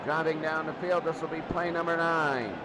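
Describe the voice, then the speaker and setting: Guy calling football plays, kinda high voice, super loud crowd noise. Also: steady tone, moderate pace. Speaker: play-by-play commentator. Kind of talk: live American football commentary. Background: loud crowd, stadium-like noise.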